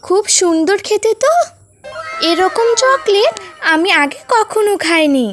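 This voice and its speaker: A woman's voice: very high-pitched, lively intonation, measured pace, fairly bright tone, soft background music, pitched above the performer's own voice, heavily acted. A woman voicing a naive, complaining young bird schoolchild in a cartoon story. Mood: eager curious. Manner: pleading, complaining.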